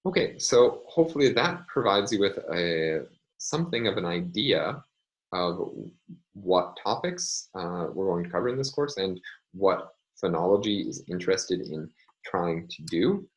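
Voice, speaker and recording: A man lecturing in a measured way, often pausing with ums and uhs as he explains. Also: deep voice, lively intonation, poor audio. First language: English. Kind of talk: recorded online lecture.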